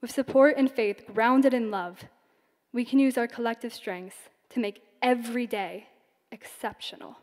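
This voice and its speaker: A woman's voice: fairly high pitch, a little mellow. A young woman speaking earnestly at a podium as class valedictorian, giving a commencement speech in a large concert hall. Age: young adult. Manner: earnest, measured delivery. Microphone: podium microphone. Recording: long hall reverb.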